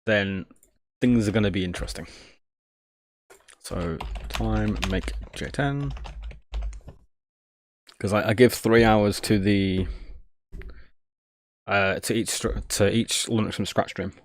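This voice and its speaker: A man's voice: fairly low pitch, slow pace, long pauses, a little hiss or hum. A man casually thinking aloud on a live tech stream, jokey and self-deprecating, muttering each step as he works.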